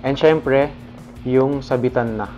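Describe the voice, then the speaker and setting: A man's voice: mid-range voice, slow pace, a little mellow. A man with a Filipino accent giving a casual, instructional product demonstration, addressing viewers informally. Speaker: product presenter. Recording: dull lo-fi sound under music. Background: background music.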